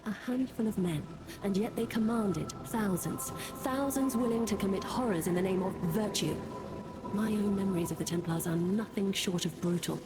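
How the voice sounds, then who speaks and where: A woman playing a grave, resolute survivor haunted by her past, speaking in a measured, deliberate way in a fantasy game. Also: mid-range voice, noisy background, natural voice. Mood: bitter, solemn. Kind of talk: scripted fantasy game narration. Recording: music under the voice. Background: game music.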